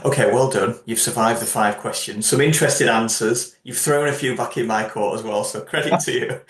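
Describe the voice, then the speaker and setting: A man's voice: medium pitch, brisk, fairly bright tone. A man hosting an interview, chatty and upbeat as he asks his guest questions. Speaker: host. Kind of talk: career interview. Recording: roomy echo.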